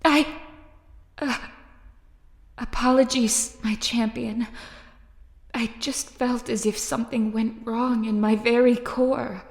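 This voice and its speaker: Woman doing a stiff formal spirit pal, slow, big echoey reverb. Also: fairly high pitch, fairly even intonation. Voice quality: formal, old-fashioned phrasing. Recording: roomy reverberant echo.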